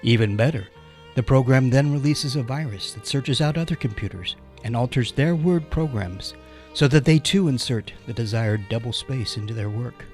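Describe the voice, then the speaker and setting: A man playing an earnest, grandiose pitchman making a pleading mock charity appeal in a scripted radio ad. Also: normal speed, darkish tone, natural voice. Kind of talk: scripted parody fundraising ad. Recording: violin music underneath. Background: violin music.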